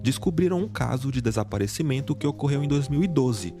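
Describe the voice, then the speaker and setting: A man giving a scripted, measured true-crime narration. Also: mid-range voice, expressive. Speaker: narrator of the reacted video. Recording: music bed under narration. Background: background music.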